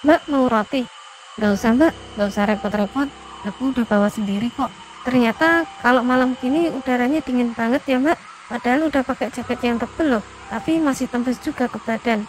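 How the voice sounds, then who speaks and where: A woman's voice: a little high, fairly quick, a little hiss or hum, lightly acted, low-quality recording. A woman playing a friendly, polite and chatty young female hiker in a cartoon. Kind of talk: cartoon dialogue acting.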